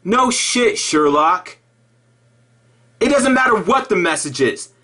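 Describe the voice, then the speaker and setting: A man delivering an improvised sarcastic rant in an exasperated, mocking way, halting and repeating himself, with profanity. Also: high voice, big pitch swings, sharp tone. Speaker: video commentator.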